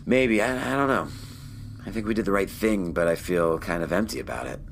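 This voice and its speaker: An actor playing a weary, self-doubting starship captain in an audio drama, with a hesitant, subdued, thoughtful delivery. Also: male voice, fairly low pitch, animated, a little fast, creaky, slight background noise. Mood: weary, lost. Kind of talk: audio drama acting, naturalistic dialogue.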